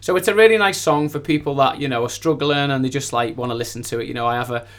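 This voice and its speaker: A man's voice: medium pitch, fast talker, a bit bright. A man speaking as a singer-songwriter guest in a casual interview, effusive and rambling, often repeating his phrases.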